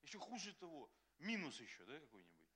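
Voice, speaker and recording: A man preaching a sermon as pastor, animated and acting out quotes, often laughing. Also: a little high, fast, breathy edge. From Russia.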